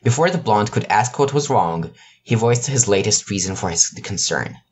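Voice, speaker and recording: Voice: mid-range voice, expressive, normal speed, slight background noise. An amateur narrator reading a scripted piece of fiction. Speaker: narrator, amateur podfic reader.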